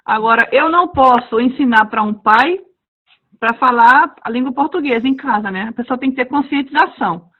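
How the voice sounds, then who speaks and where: A woman who reads stories to children, talking casually on a webinar and acting out quoted dialogue with lively expression. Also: on the high side, normal speed, husky. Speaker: heritage language storyteller. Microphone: video call. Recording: dull lo-fi video call audio.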